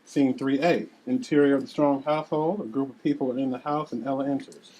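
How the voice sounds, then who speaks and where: A woman's voice: extremely low pitch, fairly even intonation, fairly quick, warm tone, rough recording. A woman reading scripted scene narration and stage directions at a table read.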